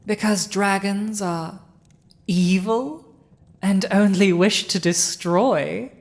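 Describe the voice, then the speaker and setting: A woman playing a villainous dragon ruler in an intimate audio roleplay, speaking in a deliberate, dominant, teasing manner. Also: medium pitch, a little mellow. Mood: amused, sardonic.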